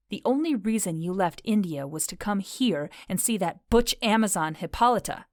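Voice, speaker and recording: A woman's voice: mid-range voice, no room sound, clean studio sound, close to an everyday voice. A woman playing a proud, defiant fairy queen in an audio drama, declaiming with dramatic indignation. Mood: scornful, accusing. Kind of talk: modern-English audio drama acting.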